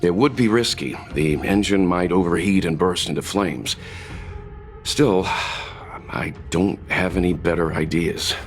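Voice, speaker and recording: Game mentor: mature, composed, low-pitched, deliberate pacing, music behind him. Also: male voice. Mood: grave. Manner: measured, hesitant pauses. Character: calm, steady, mature male mentor. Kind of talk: video game voice acting.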